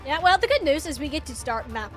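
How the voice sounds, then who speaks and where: A woman doing live esports commentary as a shoutcaster, with playful, chatty banter. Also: high voice, sing-song, fast. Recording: music behind the voice. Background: music.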